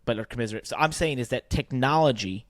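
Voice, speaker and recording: A man speaks as a podcast co-host in a casual sports business discussion. His voice sits at an average pitch, and he explains things conversationally, posing questions as he goes. The sound is very dry and close. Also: quick pace, no echo.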